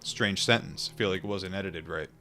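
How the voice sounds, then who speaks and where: Low-voiced man, musing, music behind. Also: pushed and bright. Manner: musing, informal, with pauses and repetitions. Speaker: streamer reading a book aloud and chatting with viewers. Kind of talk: book read-aloud mixed with casual reflective chat.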